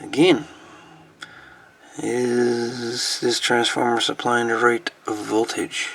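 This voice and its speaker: A man with a creaky, gravelly voice gives casual, halting hands-on repair commentary, muttering to himself. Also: medium pitch, monotone, fairly bright tone. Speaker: host of a radio repair video.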